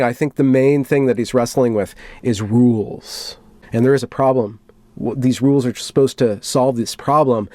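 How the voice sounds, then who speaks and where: A man's voice: mid-range voice, a little mellow, no echo. Wry man reading aloud, dry close sound. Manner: deliberate, dramatic read-aloud broken by wry, conversational asides. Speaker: commentary vlogger. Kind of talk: reading a written post aloud with offhand commentary.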